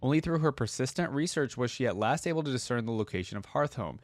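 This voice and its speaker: A man's voice: mid-range voice, lively intonation, fast talker, no echo. Man hosting in a dry, close recording. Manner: informal, curious, thinking aloud. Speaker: reaction video host. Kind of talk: casual reaction commentary mixed with reading lore aloud.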